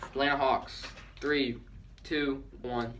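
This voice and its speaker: A man's voice: medium pitch, steady tone, slow pace, background noise. A man co-hosting a casual sports talk show, chatty and joking as he reads out trades and counts down. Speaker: podcast co-host.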